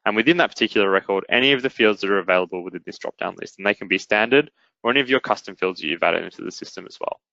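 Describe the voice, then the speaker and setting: Quick-talking man presenting a software demo. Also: fairly low pitch, creaky, no room sound, lo-fi sound. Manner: instructional, step-by-step. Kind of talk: explanatory webinar demo narration.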